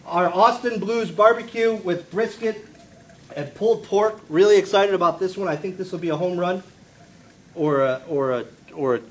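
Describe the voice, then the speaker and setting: A man giving an informal presentation at a live press event, speaking in a halting, jokey way. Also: sits high. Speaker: food and beverage presenter at a press conference. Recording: clearly noisy live event with room ambience.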